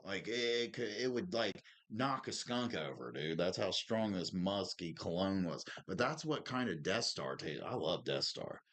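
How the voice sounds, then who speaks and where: Very deep-voiced man chatting casually. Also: expressive, unhurried, hardly any pauses, a bit bright. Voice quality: deep smoker's voice. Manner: slangy, laid-back, peppered with "man" and "dude". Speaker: podcast co-host. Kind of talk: casual chat.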